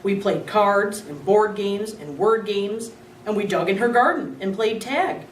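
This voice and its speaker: A woman's voice: medium pitch, expressive, measured pace, roomy sound, far from the mic, slight background noise, lightly acted, low-quality recording. A woman voicing an easygoing father who tells stories in a children's storybook reading. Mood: fond.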